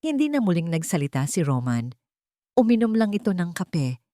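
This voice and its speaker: A woman's voice: on the low side, very animated, moderate pace, warm tone, no echo, studio quality. A woman reading a scripted, dramatic love story as its narrator.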